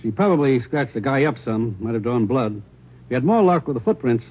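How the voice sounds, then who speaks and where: Husky police lab man. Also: mid-range voice, animated, a little fast. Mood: matter-of-fact. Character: male police crime-lab technician, plain, expert, matter-of-fact. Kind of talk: 1940s radio acting. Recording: muffled old radio recording.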